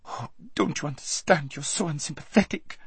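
A man voicing a timid, pampered young woman who squeaks and whimpers with fright. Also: sing-song, a little slow, vocal fry, slightly rough, no echo, full character voice. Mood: whimpering.

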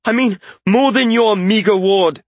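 Man acting young journeyman mage, raised pitch, earnest, emotional, self-doubting, pleading, storybook fantasy. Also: normal speed, warm tone, no echo. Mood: impassioned indignation. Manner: pleading, indignant, incredulous. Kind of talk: audiobook character voice.

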